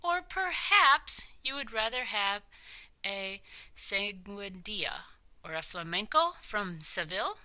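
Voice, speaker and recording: A woman's voice: medium pitch, big pitch swings, takes it slowly, sharp tone, no echo, exaggerated voice. A woman voicing a gallant, merry male street performer with a bold, almost sing-song delivery in a children's storybook. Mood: coaxing, playful.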